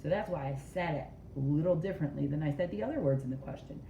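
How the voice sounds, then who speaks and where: A woman's voice: deep voice, quick pace, vocal fry, dark tone, distant mic. A woman reading a picture book aloud to young children and explaining it as she goes. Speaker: storybook read-aloud reader. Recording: roomy echo, dull sound, faint noise.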